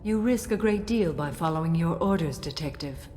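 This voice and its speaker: A woman playing a serene, solemn alien warrior bound by a code in a video game, speaking in a measured, formal way. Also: sits low, lively intonation, dark tone, a little hiss or hum. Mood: calm resolve. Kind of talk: dramatic video game acting. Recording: music behind the voice.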